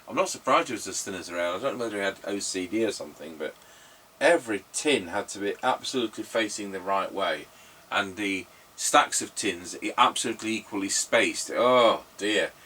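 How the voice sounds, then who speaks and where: A man's voice: on the low side, expressive, brisk, bright, forceful tone, breathy edge. A middle-aged man chatting casually in a rambling, anecdotal way while giving radio test commentary. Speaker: radio repairer and presenter. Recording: noisy, distant microphone.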